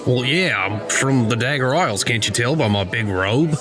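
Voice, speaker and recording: A man's voice: medium pitch, expressive, moderate pace, lightly acted. A man playing an affable, unbothered foreign royal in a tabletop roleplay, with a mild, breezy manner. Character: affable, unhurried foreign royal in a big robe. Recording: loud background music.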